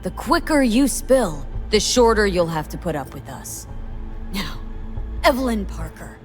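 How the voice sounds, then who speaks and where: Blunt, tough mercenary. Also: female voice, mid-range voice, sing-song, a little slow, faint music behind. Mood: impatient, firm. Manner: clipped, interrogating, no-nonsense. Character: tough, blunt mercenary woman pressing for answers. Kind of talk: video game voice acting.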